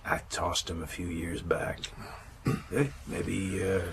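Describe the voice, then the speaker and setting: An actor playing a forgetful, apologetic old retired detective, halting and gravelly, in a radio drama. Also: male voice, low voice, flat delivery. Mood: apologetic, hesitant. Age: older. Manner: halting, hesitant. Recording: noisy ambient background.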